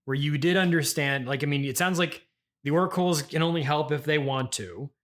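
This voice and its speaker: A man hosting an improvised comedy interview, deadpan and probing, with a hesitant way of putting his questions. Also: fairly high pitch, a little fast. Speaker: podcast host, interviewer.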